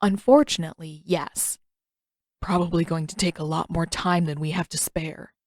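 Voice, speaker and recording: A woman voicing a gloomy, awkward teenage boy prince in a narrated story, with a dry, halting, grudging manner. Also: low-pitched, fairly even intonation, dry recording.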